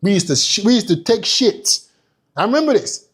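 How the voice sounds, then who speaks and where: A man's voice: high voice, sing-song, normal speed, husky. A young man from Nigeria giving a motivational business talk, emphatic and profane, hammering points home by repeating them. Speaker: business coach.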